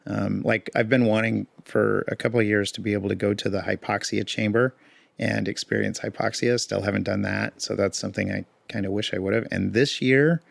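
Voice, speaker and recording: A man co-hosting a podcast in casual chat, a bit hoarse, talking in a relaxed, rambling way with fillers. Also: fairly low pitch, brisk, no echo. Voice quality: gravelly. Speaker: podcast co-host.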